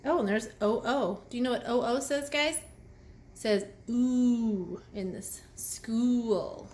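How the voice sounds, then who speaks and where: A woman reading a picture book aloud to children, pausing to explain letter sounds and ask the young listeners questions. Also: a little high, a little mellow. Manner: addresses young children directly with questions and commentary. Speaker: children's read-aloud host and teacher. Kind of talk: picture book read aloud with phonics asides.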